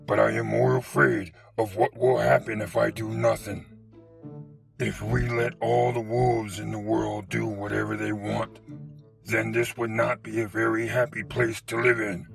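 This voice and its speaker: A man voicing a gravelly father dragon. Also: flat delivery, a little slow, soft background music. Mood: stern, fatherly. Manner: deep, gravelly, stern. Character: big brave protective father dragon. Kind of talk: storybook reading.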